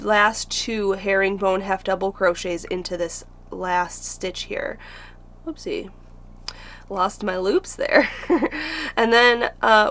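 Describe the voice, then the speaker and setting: Female crochet instructor, unhurried and patient, with a gravelly edge to the voice. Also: medium pitch, a bit bright. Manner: patient, counts stitches aloud. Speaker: crochet teacher. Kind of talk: step-by-step crochet tutorial.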